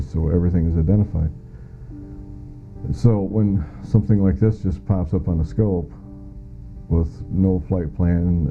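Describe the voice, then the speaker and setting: A middle-aged American man giving first-hand testimony in an interview, plain-spoken and matter-of-fact. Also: sits low. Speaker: former air traffic controller giving witness testimony. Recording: muffled lo-fi audio.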